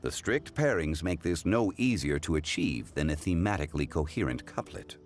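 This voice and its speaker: A man voicing a calm, learned judge who speaks in a measured, formal way, in a game cutscene. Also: low-pitched, slight background noise. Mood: explanatory. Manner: measured, formal, precise diction.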